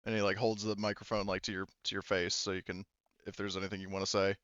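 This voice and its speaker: A man's voice: deep voice, flat delivery, quick pace, fairly bright tone, clean tone, no echo, dull audio. A man casually narrating a tabletop game as game master, improvising in informal, rambling, hesitant phrases.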